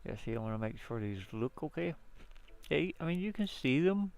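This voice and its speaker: A man with a creaky, gravelly voice giving casual, rambling commentary as a game developer showing his work, often muttering to himself and repeating words. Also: a little high, animated, fairly quick, darkish tone, slight background noise.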